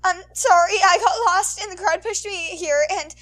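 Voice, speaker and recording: A nervous young teenage boy as a woman narrator acts him out for a fan fiction story. The voice is raised high and stammers politely, as if close to tears. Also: normal speed, fairly bright tone. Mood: flustered, panicked.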